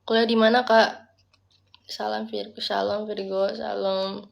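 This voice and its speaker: A woman's voice: mid-range voice, flat delivery, a little slow, vocal fry, slight background noise. Chatty young woman on a phone live stream. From Bali, Indonesia. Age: young adult. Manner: chatty, reads viewer comments aloud and answers, mixes in English words. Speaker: livestreamer. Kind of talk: casual live-stream chat.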